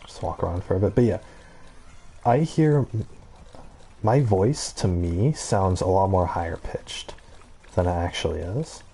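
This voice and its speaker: Male gaming creator, deep and mellow, halting casual ramble, faint noise behind. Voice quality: low-pitched voice. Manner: halting, rambling, trails off and circles back. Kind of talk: casual gameplay commentary, rambling chat.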